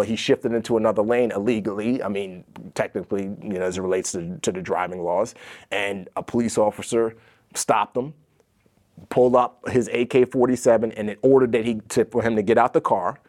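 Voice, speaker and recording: Low-voiced man telling lively stories. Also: a little fast. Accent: American. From Nigeria. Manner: animated storyteller, acts out voices of others. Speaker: guest, author. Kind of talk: casual interview storytelling.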